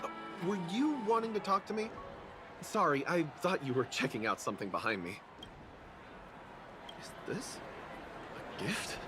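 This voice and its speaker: A man's voice: on the high side, sing-song, measured pace, long pauses, music in the background. An actor playing an insecure, wistful young man in a video game, hesitant and earnest. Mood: puzzled, shy. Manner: hesitant, earnest, self-pitying. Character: insecure, wistful young man, lonely child of important parents. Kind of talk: scripted video game dialogue.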